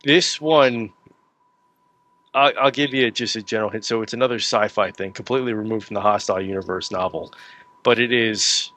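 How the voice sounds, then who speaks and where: Low-voiced man talking as podcast guest. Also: normal speed, a bit bright. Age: young adult to middle-aged, past 28. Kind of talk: casual interview chat.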